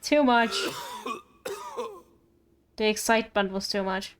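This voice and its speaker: A woman's voice: mid-range voice, takes it slowly, a little hiss or hum. A woman streamer reacting casually to a game, playful and slangy.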